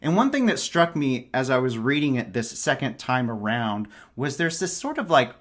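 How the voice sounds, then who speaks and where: A man's voice: medium pitch, sing-song, normal speed, fairly bright tone. Enthusiastic man chatting about books. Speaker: podcast guest. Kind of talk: casual book discussion.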